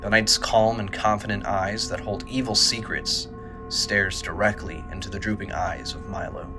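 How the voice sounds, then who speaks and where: A man reading scripted audio drama narration in a measured, dramatic storytelling manner. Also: on the low side, fairly even intonation, over music. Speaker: narrator, writer and director.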